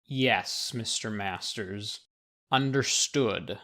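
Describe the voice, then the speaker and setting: A man's voice: lowish voice, drawn-out words, pushed and bright, heavily acted. A man playing a stuffy, impatient lawyer with a formal, prim manner in an audio drama. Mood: composed. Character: stuffy, impatient male lawyer opposing a will.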